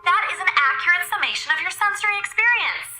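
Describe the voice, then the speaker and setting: An actress playing a literal-minded, unflappable space station computer with formal, matter-of-fact wording in a radio drama. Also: female voice, very high voice, expressive, fast. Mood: calmly clinical. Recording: echoing voice with music behind.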